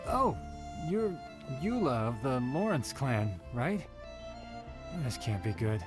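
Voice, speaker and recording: An actor playing a wary, irritable commoner in a video game, blunt and exasperated. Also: male voice, medium pitch, very animated, slow pace, over music. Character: wary, irritable ordinary townsman, dismissive of nobles. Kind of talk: scripted video game voice acting.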